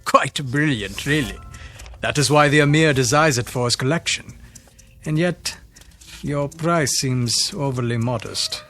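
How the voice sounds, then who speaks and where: A man's voice: medium pitch, a little slow, sharp tone, faint music behind. A man playing a courteous foreign aide to an emir, with precise formal diction, in a radio drama. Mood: courteous, doubtful.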